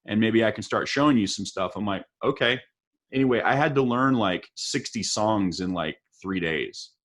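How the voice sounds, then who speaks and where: A man's voice: lowish voice, animated, moderate pace, a bit bright. A middle-aged man from West Texas hosting a casual music interview, telling long rambling stories and laughing at himself. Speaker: host.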